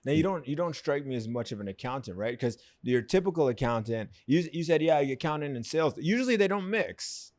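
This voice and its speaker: A man hosting an interview podcast, eager and encouraging, filling the talk with quick affirmations. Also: a little high, expressive, a little mellow, no echo. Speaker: podcast host.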